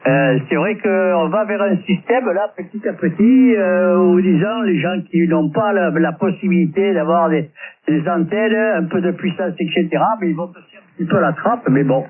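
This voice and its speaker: A man's voice: high-pitched, normal speed. A man chatting casually as an amateur radio operator, rambling conversationally over a radio transmission. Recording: tinny narrow-band radio link, distant, faint static and clicks.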